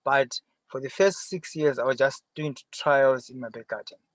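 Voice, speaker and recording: A middle-aged man with a Zimbabwean accent answering interview questions conversationally from inside a car, often pausing and rephrasing. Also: medium pitch, no room sound. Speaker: interview guest, farmer. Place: sitting in a parked car.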